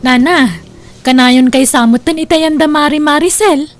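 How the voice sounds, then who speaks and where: A woman's voice: sits high, moderate pace, music in the background, natural voice. A woman voicing a young, girlish daughter in an audio drama, inquisitive and coaxing. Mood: gossipy.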